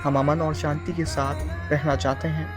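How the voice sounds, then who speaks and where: Male news voice-over, scripted delivery, higher register, prominent music bed. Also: level tone, normal speed. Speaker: news reporter narrating a report.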